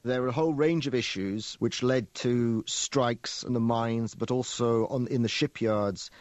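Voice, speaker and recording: A man's voice: mid-range voice, unhurried, no room sound. A man speaking as a cultural historian, giving an explanation in a documentary interview. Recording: dull, dry lo-fi interview sound.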